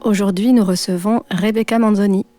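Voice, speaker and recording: A woman's voice: medium pitch, steady tone, a little fast, mellow, soft background music. A woman co-hosting a podcast, reading an admiring prepared portrait of her guest. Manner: admiring, reads a prepared text. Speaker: podcast co-host.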